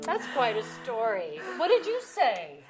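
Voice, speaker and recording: A woman playing an effusive middle-aged suburban wife in a staged play reading, exclamatory and halting. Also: a little high, huge pitch swings, over music.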